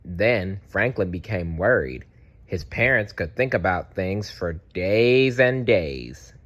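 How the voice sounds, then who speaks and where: A man narrating a children's storybook aloud in an expressive, deliberate way. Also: sits low, muffled recording.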